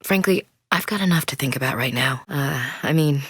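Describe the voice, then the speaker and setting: A blunt, low-voiced female soldier. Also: moderate pace, slightly rough, dry recording, heavily acted. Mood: steady. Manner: frank, dry, steady military delivery. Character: tough female human soldier, blunt and loyal. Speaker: soldier in a sci-fi game. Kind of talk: video game voice acting. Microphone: close studio mic. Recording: dry studio recording.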